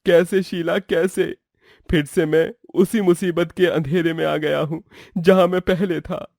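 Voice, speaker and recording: A man's voice: fairly high pitch, fairly even intonation, measured pace, vocal fry, a little mellow, dry recording, clean studio sound. A man playing a worried, plaintive poor pizza seller and family man in a melodramatic moral story. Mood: despairing, pleading. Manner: plaintive, lamenting.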